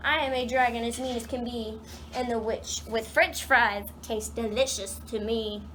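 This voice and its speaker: A woman's voice: fairly high pitch, steady tone, moderate pace, a bit bright, a little hiss or hum, lightly acted. A woman voicing a mean, boastful dragon in a children's storybook. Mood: menacing, greedy.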